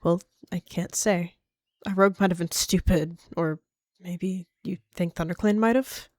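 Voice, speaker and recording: A woman's voice: on the low side, steady tone, unhurried, darkish tone, no echo, lightly acted. A woman voicing a composed, pragmatic and dismissive cat clan leader in a dramatic animal roleplay.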